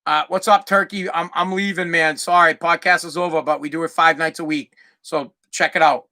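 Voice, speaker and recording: A brash young man with a Boston accent, his voice high for a man and piercingly bright. He rants hard into a desk mic, swearing freely as he hosts his solo podcast. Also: fairly even intonation, normal speed. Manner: loud, profane, ranting. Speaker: podcast host.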